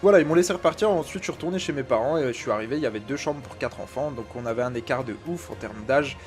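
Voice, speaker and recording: Fast-talking young French man. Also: mid-range voice, soft background music. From France. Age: 20s. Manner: casual, frequent "euh" and "bah" fillers. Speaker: YouTuber. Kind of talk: personal storytelling vlog.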